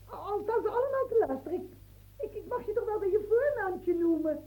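An actress in a radio play voicing a coaxing, stammering working-class mistress. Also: female voice, very high-pitched, lively intonation, a little fast, warm tone, rough recording. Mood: coy flustered.

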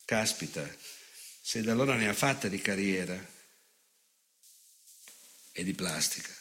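An actor playing an unrepentant, ageing fascist in a theatrical monologue, declamatory and sardonic, repeated phrases and dramatic pauses. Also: male voice, on the low side, lively intonation, bright, forceful tone. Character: unrepentant ageing fascist nostalgic, bitter, sneering, menacing man.

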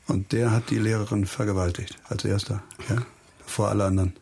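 An actor playing a grim, hard-bitten police investigator in a radio crime drama, speaking insistently and probingly, with music behind him. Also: male voice, fairly low pitch, level tone, brisk, darkish tone, a little hiss or hum, close to an everyday voice.

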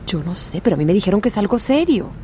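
A woman's voice: medium pitch, big pitch swings, quick pace, dark tone, close to an everyday voice. An actress playing an alarmist, indignant, gossipy townswoman in a radio drama. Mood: alarmed, indignant. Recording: clear vehicle noise behind.

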